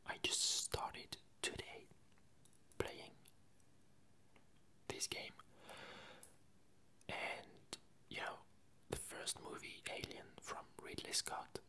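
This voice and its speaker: A person with a French accent and French as native language, whispering a casual ASMR chat in a hesitant, unhurried way, close to the microphone. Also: pushed and bright. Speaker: ASMR video creator.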